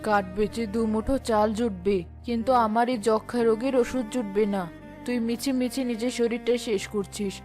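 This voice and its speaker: A woman acts out a sick village mother in a cartoon tale, fatalistic yet fiercely protective. Her voice sits rather high and plaintive, with soft music underneath. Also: steady tone, fairly quick, lightly acted. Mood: despairing. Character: poor, gravely ill village mother, despairing, protective. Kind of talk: cartoon drama dialogue.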